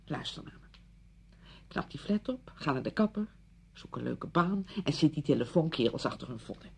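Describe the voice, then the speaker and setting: A woman's voice: low-pitched, expressive, normal speed, vocal fry, slightly rough, heavily acted. An actress playing a well-meaning, pushy woman friend in a radio play, insistent and easily defensive, full of practical advice. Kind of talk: Dutch radio play acting.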